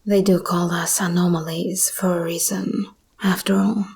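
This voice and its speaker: A woman playing an escaped hybrid monster girl, possessive yet tender, in an intimate, breathy whispered ASMR roleplay. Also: fairly low pitch, level tone, a little mellow, a little hiss or hum. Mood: teasing, soothing. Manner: slow, intimate, intense.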